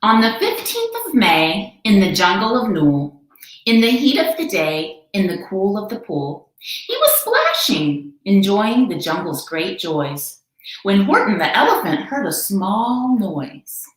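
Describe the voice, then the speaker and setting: A woman children's librarian presenting a storytime read-aloud with expressive, emphatic delivery. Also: medium pitch, a little slow. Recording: very echoey room.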